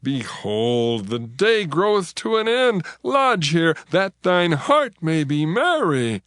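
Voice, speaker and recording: A man playing a hospitable, insistent father-in-law in a dramatized scripture reading, coaxing in drawn-out archaic English. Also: fairly high pitch, sing-song, fairly bright tone, clear, resonant tone, no echo, studio quality, exaggerated voice. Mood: pleading, insistent. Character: hospitable, insistent father-in-law urging his guest to stay.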